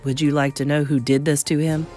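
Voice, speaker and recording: Unflappable elderly Norwegian granny, played by a woman narrator, low-pitched, wry and matter-of-fact, for a storybook. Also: little pitch movement, a little fast, warm tone, faint music behind, lightly acted. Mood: sly. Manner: unruffled, matter-of-fact, mischievous. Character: calm, wry old Norwegian grandmother.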